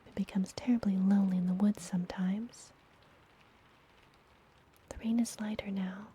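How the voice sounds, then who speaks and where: A woman playing a friendly, mysterious, teasing witch healer in an ASMR fantasy roleplay, speaking gently and soothingly in a soft, breathy whisper. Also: mid-range voice, steady tone, slight background noise, natural voice. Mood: caring, gentle. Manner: slow, gentle, soothing, deliberate.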